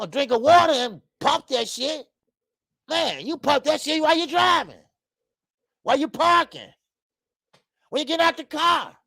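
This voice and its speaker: A man in his fifties hosting a live boxing talk stream, loud and profane, rambling and greeting viewers by name. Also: very high-pitched, gravelly, no echo, studio quality. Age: 50s. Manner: frequent laughs and stretches of filler. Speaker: boxing show host. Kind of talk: live-stream commentary chat.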